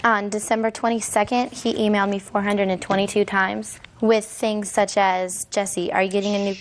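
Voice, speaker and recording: A woman plaintiff giving courtroom testimony, long-winded and quick to apologize. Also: medium pitch, level tone, slight background noise. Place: TV courtroom set.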